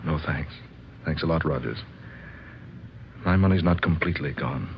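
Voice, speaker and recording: A low-voiced husband in an old radio drama. He is earnest and melodramatic, devoted on the surface and scheming underneath. The recording is dull with a faint noise. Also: moderate pace. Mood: anxious, earnest. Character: scheming husband Harvey, outwardly devoted, turning anxious.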